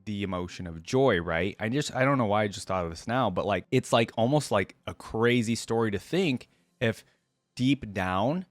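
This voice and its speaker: A man's voice: lowish voice, lively intonation, normal speed, no echo. A man hosting a reaction video in a casual, conversational way, thinking aloud as he comments. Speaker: YouTube reaction host.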